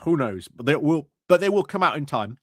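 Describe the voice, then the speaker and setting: A man's voice: fairly high pitch, sing-song, brisk, a bit bright, no echo, clean studio sound. A man giving casual commentary on meeting clips, hesitant, with many fillers and restarts. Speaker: political commentary streamer.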